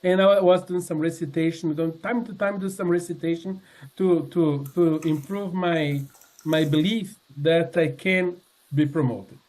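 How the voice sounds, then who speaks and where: A man in his sixties giving a personal testimony to a congregation, speaking earnestly and haltingly, with many fillers and restarts. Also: fairly high pitch, steady tone, darkish tone. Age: 60s. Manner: earnest, sincere. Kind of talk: personal testimony talk with slides.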